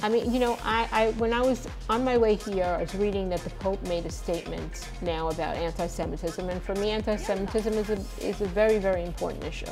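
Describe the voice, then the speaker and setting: A woman's voice: mid-range voice, steady tone, fast talker, hardly any pauses, over music. A woman in her sixties, an author talking as a guest in an interview, earnest and emphatic. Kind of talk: conversational interview.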